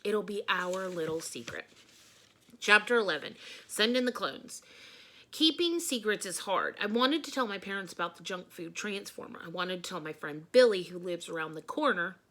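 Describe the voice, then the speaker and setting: A woman reading a children's storybook aloud in an expressive, emphatic way, like a teacher addressing young listeners. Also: medium pitch, normal speed.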